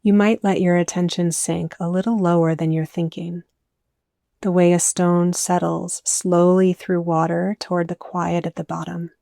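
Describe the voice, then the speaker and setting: A woman leading a guided meditation, speaking gently and soothingly in scripted, softly inviting phrases. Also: lowish voice, flat delivery, moderate pace, creaky, no room sound, studio quality.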